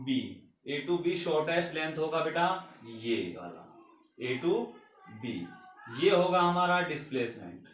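A man's voice: fairly high pitch, fairly bright tone, slight background noise. A young male physics teacher at a whiteboard, asking his class questions and answering them himself in a mix of Hindi and English. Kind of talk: whiteboard lecture. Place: small room at a whiteboard. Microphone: camera a few meters away. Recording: very echoey room, voice from far off.

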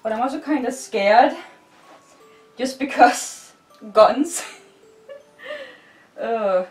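A woman's voice: on the high side, animated, very slow. Giggly young Danish-accented woman, roomy bedroom. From Denmark. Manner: bubbly, giggly, rambling with many "like"s. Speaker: vlogger. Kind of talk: casual chat while decorating. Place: bedroom, indoors. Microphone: far camera. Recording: roomy, distant, with music faint behind.

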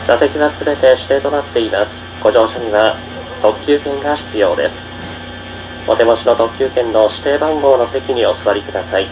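A man's voice: mid-range voice, moderate pace. A man working as a train conductor, making polite, formulaic announcements to passengers. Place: train PA heard inside a moving carriage. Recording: dull onboard speakers with loud train noise.

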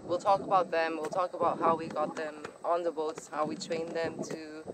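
A woman co-hosting a sailing vlog outdoors on a boat deck, chatting to camera in a friendly, slightly halting way. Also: sits low, level tone. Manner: friendly, a little halting, laughs easily. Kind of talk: casual chat to camera. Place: outdoors on a sailboat deck on a river. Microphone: camera mic from a few feet away. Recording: loud wind noise outdoors.

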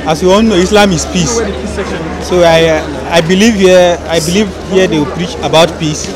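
A man's voice: high voice, moderate pace. A young man with a Nigerian accent answering an interviewer outside a venue with crowd chatter behind. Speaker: interviewed attendee. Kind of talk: vox pop interview. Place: busy area outside a venue. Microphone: handheld interview microphone.

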